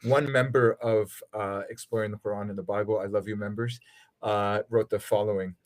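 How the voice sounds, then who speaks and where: A man with a fairly low voice hosts a livestream. He moderates questions in a conversational way, sometimes restarting tentatively as he steers the discussion. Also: fairly even intonation, moderate pace. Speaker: livestream host.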